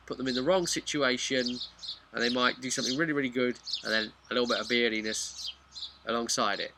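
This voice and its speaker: A man with a middling-pitched voice talks as a hobby video host. He is chatty and frank, rambling through an unscripted explanation. The recording is clearly noisy, with birdsong and clicks behind him. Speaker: video host and tabletop game master. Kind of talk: casual unscripted explainer vlog.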